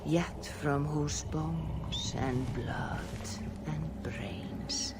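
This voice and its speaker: Hushed, very slow Norse storyteller. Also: male voice, a little high. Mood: grave, ominous. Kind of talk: slow dramatic scripted narration. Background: loud cinematic music.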